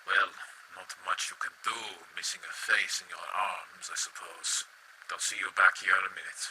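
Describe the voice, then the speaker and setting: Very raspy, deep, weary man acting a soldier. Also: little pitch movement, moderate pace, pushed and bright, exaggerated voice, low-quality recording. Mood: resigned. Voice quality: raspy, breathy. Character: weary, uneasy, formal male comrade Kent. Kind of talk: video game cutscene acting.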